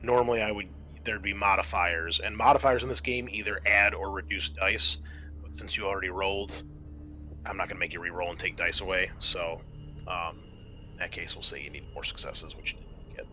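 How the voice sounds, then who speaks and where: Male game master, lowish bright voice, conversational explainer of rules, faint noise behind. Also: fairly even intonation, a little fast. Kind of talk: tabletop game narration and rules talk.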